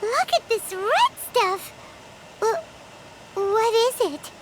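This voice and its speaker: A woman voicing a tiny, excitable childlike fairy companion in a video game, blunt and full of questions and exclamations. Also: very high voice, slow, slight background noise, exaggerated voice. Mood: excited.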